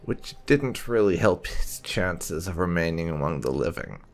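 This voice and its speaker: A man's voice: low-pitched, animated, measured pace, faint music behind. A man giving casual let's play commentary, rambling and musing to himself as he goes. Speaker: gaming video host.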